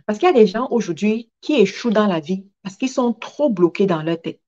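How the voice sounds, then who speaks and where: A woman speaks as a motivational coach, in a voice of average pitch for a woman. She drives her points home emphatically, repeating key phrases as she goes. The recording is a little dull and lacking in detail. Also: animated, moderate pace, warm tone. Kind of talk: motivational talk with personal anecdotes.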